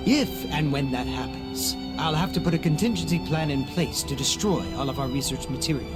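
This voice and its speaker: A man's voice: a little high, animated, a little fast, over loud music, lightly acted. A man voicing an earnest, principled scientist in an anime game, formal and precise. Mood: earnest resolve. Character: earnest, principled, mild-mannered male scientist. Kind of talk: dubbed anime game dialogue.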